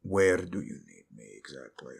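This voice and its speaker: A man voicing a grim undead death knight servant in a fantasy audio roleplay, breathy and terse, with a sullen menace. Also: low voice, expressive, moderate pace, pitched below the performer's own voice, exaggerated voice. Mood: grudging. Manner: terse, menacing, reluctantly obedient.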